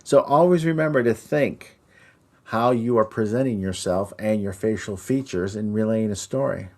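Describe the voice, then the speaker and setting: Low-voiced man explaining deliberately. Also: darkish tone. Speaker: podcast co-host and Christmas performer. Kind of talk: instructional discussion on storytelling.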